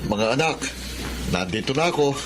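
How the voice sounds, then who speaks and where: A man's voice: mid-range voice, expressive, normal speed, music in the background, natural voice. An actor playing a loving, humble widowed father courting his sweetheart in a Filipino radio drama. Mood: proud, affectionate.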